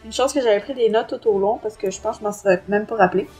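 A woman's voice: mid-range voice, very fast, darkish tone, slightly rough, faint music behind. A woman with a Québécois French accent co-hosting a podcast and giving a casual book review.

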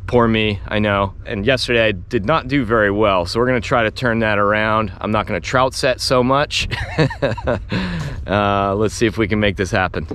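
A man in his thirties on a small boat, chatting excitedly and poking fun at himself as he fly fishes on camera. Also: fairly low pitch, moderate pace, a little hiss or hum. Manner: excitable, chatty, self-deprecating. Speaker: fly fishing vlogger. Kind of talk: casual on-camera fishing narration. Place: small open boat on the water outdoors. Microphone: action camera on the boat.

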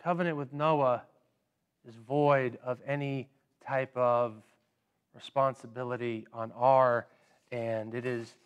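A man in his thirties delivers a sermon in a church sanctuary at a slow, deliberate pace, his voice smooth and clear. He often repeats a word before moving on, and gestures as he stands to preach. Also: medium pitch, fairly even intonation, dull audio. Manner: unhurried, with frequent word repeats and pauses. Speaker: pastor.

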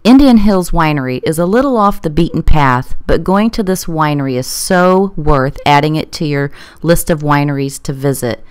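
A woman's voice: low voice, moderate pace, hardly any pauses, smooth, no room sound, studio quality. A woman reading scripted promotional narration.

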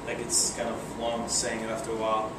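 A man's voice: lowish voice, completely flat delivery, brisk. Polish-accented young man in an echoey room. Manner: casual, hesitant with many fillers and restarts. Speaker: guest speaker. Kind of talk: presentation to a breakfast meeting. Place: conference room, speaking to a seated audience. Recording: echoey room, far from the mic, air conditioning hum.

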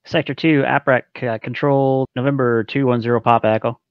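A man's voice: mid-range voice, steady tone, brisk, clear, resonant tone, no room sound. A man working as an air traffic controller, speaking clipped radio phraseology. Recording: dull lo-fi radio and landline audio.

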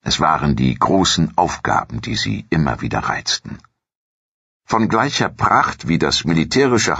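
A man with a gravelly, husky voice reads scripted documentary narration in a measured, formal storytelling manner. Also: very deep voice, bright, forceful tone, no echo, highs cut off.

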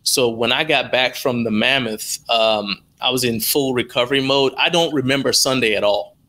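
A man's voice: mid-range voice, normal speed, bright, forceful tone. A middle-aged American man telling stories casually and colloquially as an interview guest on a video call headset. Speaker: interview guest, live stream producer. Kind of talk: casual conversational storytelling. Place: indoor room at home on a video call.

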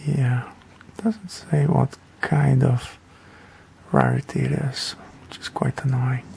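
Very creaky-voiced man, slow rambling game commentary. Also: mid-range voice, huge pitch swings, darkish tone, a little hiss or hum. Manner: rambling, offhand, hesitant. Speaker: gaming video creator. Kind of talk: casual game tutorial commentary.

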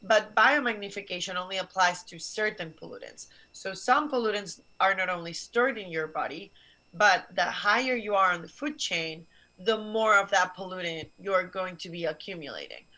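Bright-voiced young woman. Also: mid-range voice, moderate pace, low-quality recording. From Barcelona, Spain. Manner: earnest, explanatory, gestures while talking. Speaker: guest scientist. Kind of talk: explaining science to students. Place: small office room. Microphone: video call webcam.

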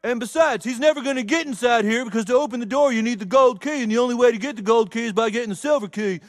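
A fussy nasal school counselor. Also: male voice, very high voice, fast talker, dry recording. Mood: exasperated. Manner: repeats okay, nasal and fussy.

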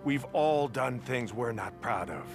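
A man's voice: mid-range voice, expressive, a little slow, over music. An actor playing a world-weary, fair-minded older man who leads a survivor camp in a video game, with a commanding manner. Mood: firm, weary. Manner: commanding, weighty.

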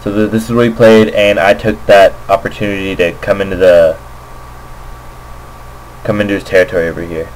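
Man, lowish voice, unscripted game commentary, casual, hesitant, thinking aloud. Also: flat delivery, fairly quick, long pauses, a little hiss or hum, low-quality recording. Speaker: amateur Go player, commentator. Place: indoors at a computer, screen recording voice-over. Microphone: makeshift computer microphone.

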